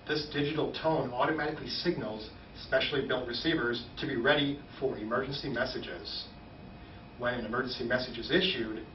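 A man in his forties giving a measured, formal, scripted informational presentation as a weather service spokesman. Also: medium pitch. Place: small indoor operations room, standing at a podium. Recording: roomy, slightly dull, distant, faint noise.

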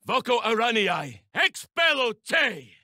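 A fierce male elf druid in a fantasy game fight. The voice is raised high, bright and swooping, declaiming slowly and theatrically like battle incantations. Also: sing-song, no echo, clean studio sound, heavily acted. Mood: forceful, urgent. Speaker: video game character. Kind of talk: combat barks and spell incantations.

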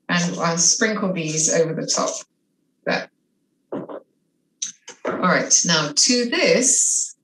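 A woman's voice: mid-range voice, a bit bright. A middle-aged woman with a mixed French and English accent, chatting enthusiastically through a cooking demonstration in her home kitchen on a video call. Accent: French and English mix. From half French, half English. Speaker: cooking instructor. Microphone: video call webcam. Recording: echoey kitchen with faint noise.

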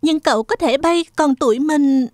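A kind, excitable cartoon girl acted by a woman. She sounds sweet and very high, in a dry recording. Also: normal speed, no room sound, natural voice. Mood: amazed, eager. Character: kind, excitable young girl, storytelling big sister.

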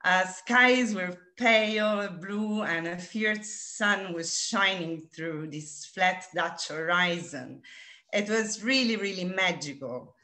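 A woman's voice: fairly low pitch, takes it slowly, sharp tone. A woman from Italy with an Italian accent, giving a virtual tour presentation over a video call with many hesitant fillers. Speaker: tour director. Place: home room.

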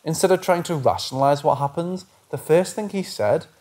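A man narrating reader-submitted paranormal stories in a steady, measured storytelling delivery. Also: fairly high pitch. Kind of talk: scripted narration of scary stories.

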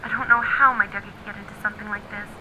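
A woman's voice: medium pitch, steady tone, rapid-fire, highs cut off, slight background noise, close to an everyday voice. A woman playing an anxious, devoted film-noir dame, narrating in a brooding, dramatic radio-drama style. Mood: worried.

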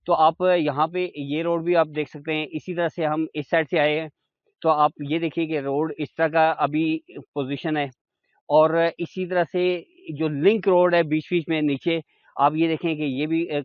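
A middle-aged man with a Pakistani accent presents a site as an estate agent, informally explaining and pointing out features. His voice sits a bit high. The recording is dry and slightly dull, with no echo around the words. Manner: explanatory, repeatedly pointing things out. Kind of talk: informal sales walk-through. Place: outdoors on a forested hillside road.

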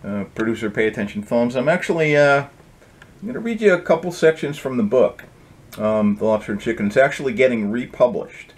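A man's voice: medium pitch, expressive, a little fast. A middle-aged man reading from his own book as its author, in a storybook style with casual introductions. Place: indoor home office.

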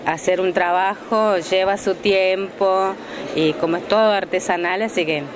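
A middle-aged woman, an artisan vendor speaking quietly and calmly in an interview. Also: mid-range voice, a little slow. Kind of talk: casual interview. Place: large indoor fair hall. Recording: crowd chatter, a bit off-mic.

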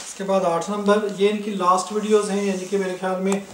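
A man from Pakistan showing clothes for sale, listing prices in a brisk, matter-of-fact way. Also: high voice, little pitch movement, far from the mic, a little hiss or hum. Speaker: online clothing seller. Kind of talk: casual product showcase. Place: indoors, filming fabrics on the floor. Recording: echoey room, somewhat off-mic.

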